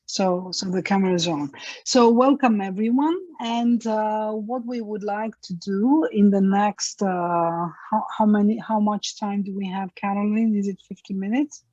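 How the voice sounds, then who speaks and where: A woman moderating an online panel over a video call, halting and searching for words as she speaks. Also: medium pitch, mellow, dry recording, highs cut off.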